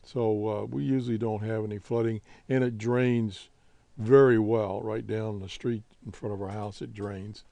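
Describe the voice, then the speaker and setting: Male hobby-show host, American, unhurried and halting, relaxed soft-edged tone, dry close sound. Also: fairly low pitch, vocal fry, no room sound. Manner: halting, laid-back, rambling. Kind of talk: casual unscripted update.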